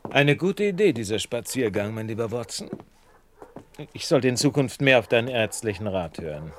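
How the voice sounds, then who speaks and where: An actor playing an urbane, observant gentleman detective, courteous and self-assured, in a radio play. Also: medium pitch, very animated, moderate pace, slight background noise, lightly acted. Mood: assured, playful.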